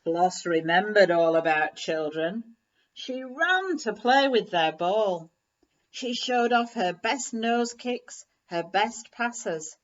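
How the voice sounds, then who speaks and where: A woman reading a children's story aloud in an animated, measured storytelling manner. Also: medium pitch. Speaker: story reader. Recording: slightly dull, lo-fi recording.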